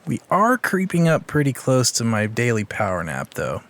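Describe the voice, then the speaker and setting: An actor playing a smarmy, swaggering celebrity hero in an audio drama, talking in a smug, breezy, flirtatious way. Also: male voice, mid-range voice, wildly swooping pitch, moderate pace, a bit bright. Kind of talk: audio drama character acting.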